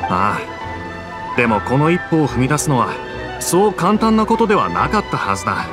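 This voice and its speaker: A man's voice: a little high, sing-song, brisk. A man voicing a good-natured, admiring townsman in an anime-style game. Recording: music behind.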